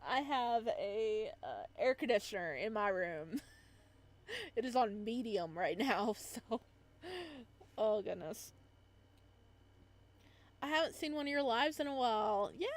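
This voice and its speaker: Female game streamer, higher-pitched, giggly and playful, casual live chat delivery over a visual novel playthrough. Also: animated, a little slow. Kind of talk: casual livestream chat while reading a visual novel aloud.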